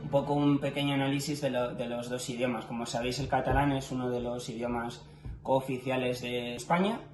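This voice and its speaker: A man from Madrid hosts a relaxed conversation, often affirming and restarting with little filler sounds. His voice is mid-pitched and echoes in a roomy space, with clear music running underneath. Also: a little fast. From Madrid, Spain. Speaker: host of a language channel. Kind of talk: casual interview conversation.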